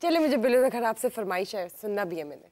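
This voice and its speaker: A woman's voice: mid-range voice, sing-song, fast, a little mellow. A woman with a Pakistani accent chatting casually as a talk show co-host. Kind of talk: casual chat.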